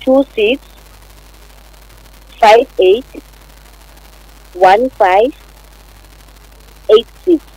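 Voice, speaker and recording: Female interview guest, slow conversational delivery, very dry close sound. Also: on the high side, darkish tone, husky, no echo, low-quality recording.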